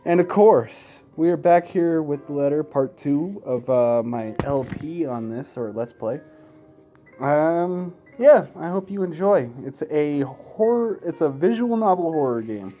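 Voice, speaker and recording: A man's voice: on the high side, expressive, measured pace, dull audio, soft background music. A man hosting a let's play in an informal, sweary way, mixing casual gaming commentary and asides with game text read aloud.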